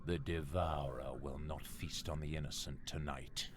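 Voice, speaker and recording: A man's voice: extremely low pitch, fairly even intonation, normal speed. A man giving grave, dramatic narration in a fantasy video game. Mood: solemn. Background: music.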